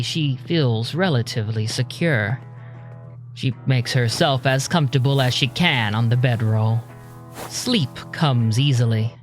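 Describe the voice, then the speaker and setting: A man narrating a scripted audio drama in a measured, dramatic storytelling manner. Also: medium pitch.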